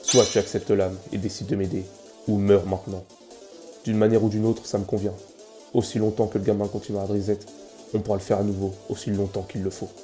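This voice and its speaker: A man playing a weary, cold, nonchalant male monster in a fan comic dub, with a deadpan, casually menacing delivery. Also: on the low side, brisk, soft background music, lightly acted. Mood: cold, threatening.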